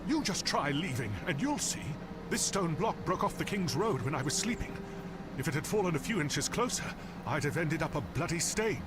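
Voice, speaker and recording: An actor playing a cursed, anxious would-be knight in a video game, speaking with a gloomy, doom-laden delivery. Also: male voice, fairly high pitch, big pitch swings, normal speed, over music. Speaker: game character. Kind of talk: voiced game dialogue. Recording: game audio with clear background noise.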